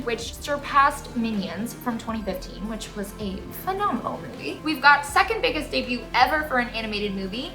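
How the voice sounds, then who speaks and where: A young American woman hosting a casual movie review, gushing and emphatic, with slangy phrasing. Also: fairly high pitch. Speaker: movie podcast host.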